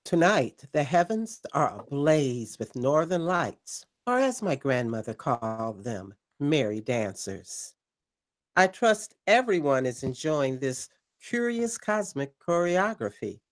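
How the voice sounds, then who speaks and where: A theatrical late-night pirate radio host, slow and deliberate, her pitch rising and falling dramatically, as a woman plays her. She savors each phrase and leans into the eerie parts of her story. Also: big pitch swings, exaggerated voice. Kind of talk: audio drama acting.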